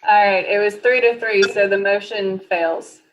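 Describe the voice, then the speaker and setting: A woman's voice: mid-range voice, fairly even intonation, normal speed, a little hiss or hum, rough recording. A woman working as a board secretary, calling roll and explaining procedure in a matter-of-fact way at a public meeting.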